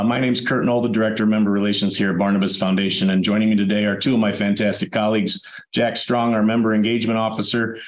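A man hosting a webinar in a relaxed, conversational way, his voice hoarse, raspy and dry from a chest cold. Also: on the low side. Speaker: webinar host. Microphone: video call. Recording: echoey room over a video call.

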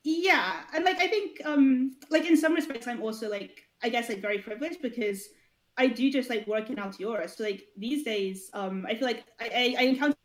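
A fairly high-pitched woman talks as a podcast guest, an esports founder and coach. Her speech wanders and is packed with "like" fillers and restarts. She is candid and blunt at times. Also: moderate pace. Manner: rambling, heavy on "like" fillers, hesitant restarts. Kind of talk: casual podcast conversation.

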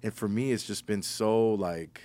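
A man's voice: fairly low pitch, a little slow, a little mellow. A man, a podcast guest, talking in a casual, enthusiastic way and often repeating his phrases. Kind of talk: casual chat.

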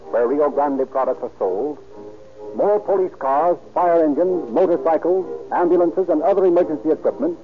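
A man announcing and narrating on a 1930s radio show, delivering emphatic, declamatory ad copy and scene-setting. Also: on the high side. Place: radio studio. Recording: tinny old radio recording.

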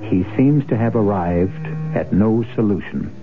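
A man hosting and narrating an old-time radio drama in a measured, literary, dramatic way. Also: on the low side, animated. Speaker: host and narrator. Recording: muffled, band-limited old radio recording. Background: music.

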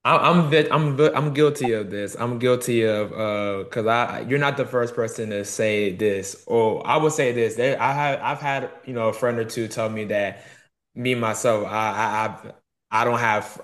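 A man's voice: lowish voice, normal speed, fairly bright tone. A man from Texas hosting a podcast, chatting casually with many restarts and "you know"s. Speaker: podcast host. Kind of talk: casual conversation.

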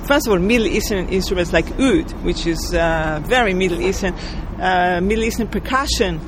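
A woman's voice: fairly low pitch, moderate pace, noisy background. A woman composer from Israel, answering interview questions thoughtfully and searching for her words.

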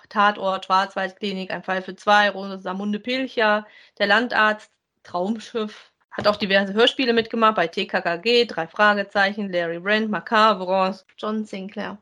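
A woman's voice: medium pitch, steady tone, normal speed, pushed and bright. A woman co-hosting a podcast, talking informally and conversationally. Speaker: podcast co-host. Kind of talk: casual chat about audio drama voice actors.

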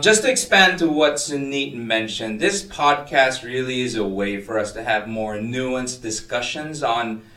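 A man with a neutral American accent carrying Filipino inflections, co-hosting a casual podcast in an animated, chatty way. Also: medium pitch, recorded from a distance. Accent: Filipino-inflected American English. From Philippines. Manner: animated, chatty, laughs while teasing. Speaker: podcast co-host. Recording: echoey room, voice a bit off-mic.

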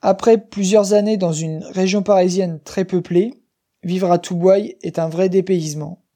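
Calm young Frenchman, mellow voice. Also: high-pitched. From western France. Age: 20s. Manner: slow, clear, careful reading. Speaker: guest speaker. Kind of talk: scripted narration for language learners.

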